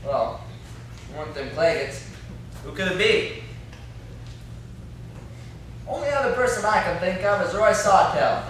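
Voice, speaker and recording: A man's voice: high-pitched, lively intonation, unhurried, long pauses, a bit bright. A young man playing an indignant, blustering country captive in an amateur stage play, with a folksy, complaining, threatening way of talking. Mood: uneasy, blustering. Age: young adult. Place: classroom-like room, indoors. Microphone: far camera. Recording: echoey room, distant camera mic, faint noise.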